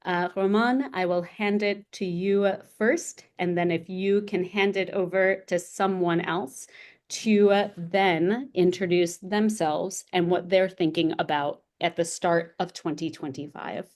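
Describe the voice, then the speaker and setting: A woman moderating a panel discussion over a video call in a measured, organized way. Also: lowish voice, steady tone, a little mellow.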